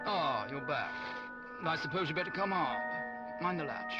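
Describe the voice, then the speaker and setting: An actor playing a fussy, peevish Victorian gentleman in a game, with an English accent and a pompous, wordy manner. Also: fairly high pitch, lively intonation, moderate pace, highs cut off, loud music behind the voice, lightly acted. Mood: wary, grudging. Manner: pompous, verbose, exasperated. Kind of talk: period game acting.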